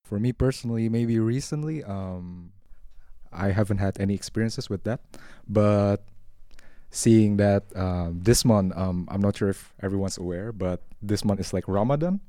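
A young man with an Indonesian accent shares his thoughts in a casual podcast discussion. His voice is fairly low and soft-edged, with a dark, relaxed tone and a dry sound. He speaks haltingly, feeling his way through each thought. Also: dry recording. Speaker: podcast guest.

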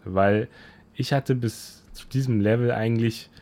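A man's voice: medium pitch, fairly even intonation, unhurried, a little mellow. A young man from Germany hosting a solo podcast, talking casually and rambling with many fillers and self-corrections. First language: German and Japanese. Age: young adult. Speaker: podcast host.

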